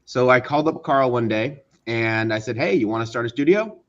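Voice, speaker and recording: A man speaking as an interview guest, casually recounting things and often pausing to search for words. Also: mid-range voice, expressive, muffled recording. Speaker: interview guest, studio co-founder.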